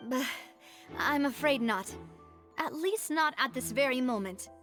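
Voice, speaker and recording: A woman's voice: sits high, lively intonation, a little slow, music in the background. An actress playing a resolute young woman who leads a syndicate, speaking articulately in a video game courtroom drama. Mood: conceding. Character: resolute young woman, syndicate leader arguing for her dead father.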